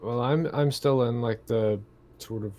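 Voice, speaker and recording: A man playing a tabletop roleplaying game, chatting casually in a halting way and often repeating himself. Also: medium pitch, mellow.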